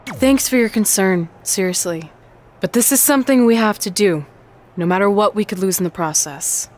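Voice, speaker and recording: A woman's voice: mid-range voice, normal speed, natural voice. A woman playing a determined, guarded pro wrestler in a video game cutscene.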